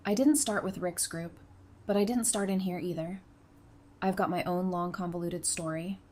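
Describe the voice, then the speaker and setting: A woman's voice: lowish voice, steady tone, normal speed, a little mellow, close to an everyday voice. Female actor as sassy young survivor for post-apocalyptic audio drama; natural, teasing banter; noisy home-style recording. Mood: earnest. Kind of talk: fan audio drama dialogue. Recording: clear background noise.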